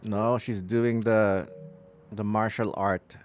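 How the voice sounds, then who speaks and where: A man's voice: fairly low pitch, fairly even intonation, takes it slowly. A man doing casual live commentary on a game playthrough, sometimes reading dialogue aloud. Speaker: gaming streamer.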